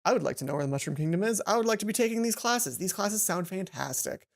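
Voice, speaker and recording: A woman hosting a let's play, reading a visual novel aloud and adding chatty, informal, animated asides. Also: mid-range voice, fast talker, vocal fry. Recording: music behind the voice. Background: game music.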